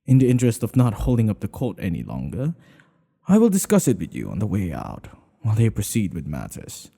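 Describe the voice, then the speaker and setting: A man acting as an older king in an intimate ASMR roleplay, tender and teasing with his secret lover. His voice is low and mellow with a gravelly fry. He phrases things in a courtly, regal way, breathy and unhurried. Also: huge pitch swings, heavily acted. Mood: formal, courtly. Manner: courtly formal phrasing, flirtatious and playful.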